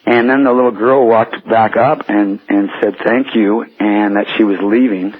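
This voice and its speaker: Male American radio caller, hesitant storyteller, rough-edged voice, tinny telephone sound. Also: fairly low pitch, steady tone, normal speed. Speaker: phone-in caller. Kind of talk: personal storytelling on a radio call. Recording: tinny, muddy telephone line.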